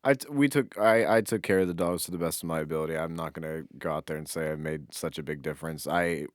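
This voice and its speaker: A man's voice: very deep voice, quick pace, no echo, studio quality. A man co-hosting a podcast in casual, informal chat, with some offhand swearing. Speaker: podcast co-host.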